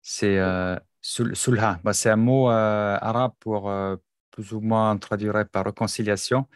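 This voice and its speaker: A host whose first language is German speaks in a fairly low voice with a dry, close sound. He chats easily with his guest, adds short acknowledgements and steers the conversation. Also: steady tone, a little slow, no echo. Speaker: podcast host. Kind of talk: conversational interview.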